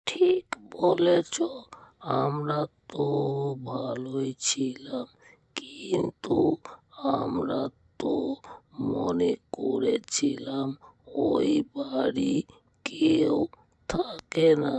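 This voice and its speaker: A tiny, fretful cartoon bird husband. The voice is extremely high and wildly swooping, and it speaks very slowly in hesitant pieces. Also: female voice, huge pitch swings, vocal fry, warm tone, slightly rough, no room sound, full character voice. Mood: worried. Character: anxious little bird husband in a fairy tale. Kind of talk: children's cartoon storytelling.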